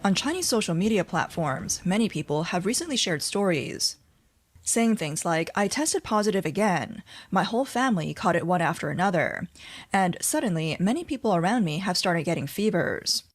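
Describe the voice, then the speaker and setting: A woman narrating a scripted news explainer, reading reports and quoted posts in a measured, even delivery. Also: on the low side.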